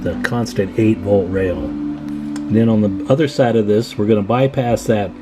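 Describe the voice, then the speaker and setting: A man's voice: lowish voice, steady tone, moderate pace, music in the background. A man hosting a radio repair video, explaining hands-on work in a casual, folksy way and chatting informally to viewers.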